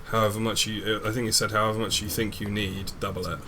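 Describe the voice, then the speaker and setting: A young man, chatting in a relaxed way during a gaming stream and swearing casually. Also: lowish voice, quick pace, noisy background.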